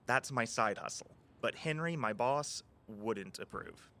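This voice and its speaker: An actor playing a playful, theatrical tour guide with a flowery turn of phrase in an audio drama. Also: male voice, mid-range voice, lively intonation, unhurried, sharp tone. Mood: confiding. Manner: grandiose mock-archaic speech.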